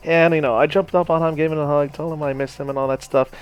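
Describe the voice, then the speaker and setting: A man's voice: a little high, steady tone, quick pace, clear, resonant tone, dull audio. Rambling young man telling his story. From Hawaii, United States. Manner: rambling, heavy with "you know" and "like", casual swearing. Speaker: gaming channel host. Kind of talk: casual personal storytelling over gameplay.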